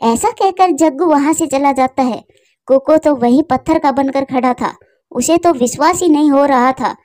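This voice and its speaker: High-voiced woman narrating a story. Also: moderate pace, darkish tone, dry recording. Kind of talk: scripted cartoon story narration.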